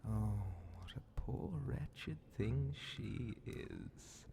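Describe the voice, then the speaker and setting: A sly demon grand vizier speaks in a low, gravelly half-whisper, drawing out his words very slowly. He is mockingly polite and quietly threatening, in the manner of an ASMR horror fantasy roleplay, with faint noise behind the voice. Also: exaggerated voice. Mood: sly, measured. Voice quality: breathy, gravelly, often dropping to a whisper. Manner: slow, theatrical, condescending, half-whispered menace.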